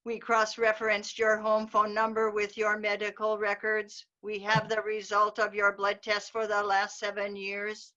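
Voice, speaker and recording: A woman's voice: mid-range voice, monotone, measured pace, few pauses, bright, forceful tone. A woman voicing a polite, all-knowing pizza order clerk in a readers theater skit over a video call. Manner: polite, unflappable and coolly formal. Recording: dull lo-fi video call audio.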